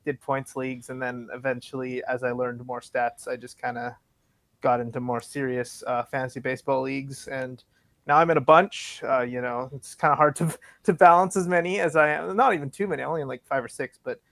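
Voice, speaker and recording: A man's voice: medium pitch, fairly even intonation, fairly quick, gravelly, a bit bright, no echo. A young man from Canada, guesting on a podcast, answering in an eager, rambling way with light laughs. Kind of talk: casual interview answers.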